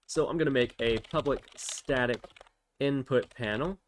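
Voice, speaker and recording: A man with a medium-pitched voice hosts a programming tutorial. He speaks casually, reasoning aloud and explaining things step by step, as in an informal screen recording. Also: normal speed, slight background noise.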